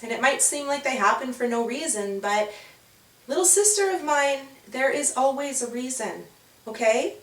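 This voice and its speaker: A woman voicing a patient, reassuring big sister in a storybook, explaining gently. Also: high-pitched, expressive, normal speed, voice pushed up. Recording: roomy, distant from the mic.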